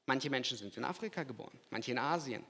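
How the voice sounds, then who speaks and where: A man from Kazakhstan preaching a sermon, swinging from loud emphatic lines to quiet, hushed asides. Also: medium pitch, lively intonation, fast talker, creaky. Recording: long hall reverb.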